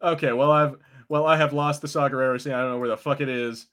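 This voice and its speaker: A man chats casually as a podcast co-host, his voice at an ordinary middle pitch. He talks loosely, swears freely and often restarts his sentences. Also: steady tone, fast, a bit bright. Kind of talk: casual chat.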